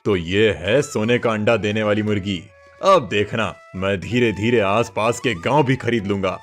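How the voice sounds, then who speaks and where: A man's voice: fairly low pitch, normal speed, soft background music, natural voice. A man voicing a greedy, domineering village headman, an imperious cartoon villain. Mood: gloating, scheming. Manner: imperious, scheming.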